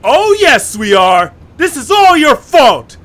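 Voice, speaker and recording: An actor playing a hot-headed young leader in a fan audio drama, forceful and bossy. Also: male voice, very high voice, big pitch swings, slow pace, heavily acted. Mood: commanding. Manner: forceful, commanding and angry.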